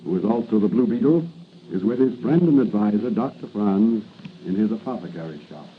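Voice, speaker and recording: A man's voice: fairly low pitch, lively intonation, brisk, natural voice. An American man announcing and narrating with dramatic, scripted 1940s radio delivery. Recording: muffled, noisy 1940s radio recording.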